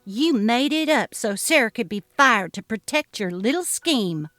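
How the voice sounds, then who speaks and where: A woman's voice: fairly high pitch, lively intonation, measured pace, fairly bright tone, no echo, faint music behind. A woman voicing an indignant, defiant female employee in a dramatic story reading. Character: indignant young female employee Ariel, refusing to be blamed.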